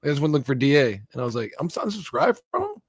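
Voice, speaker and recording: A man's voice: a little high, huge pitch swings, husky, no echo. A man chatting casually on a live stream, rambling and swearing. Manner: fast, profane, rambling complaints. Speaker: stream host.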